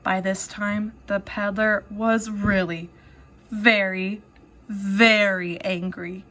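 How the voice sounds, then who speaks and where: A woman reading a children's storybook aloud like a teacher, with expressive, deliberate delivery. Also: medium pitch. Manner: expressive, deliberate, pausing to ask children questions.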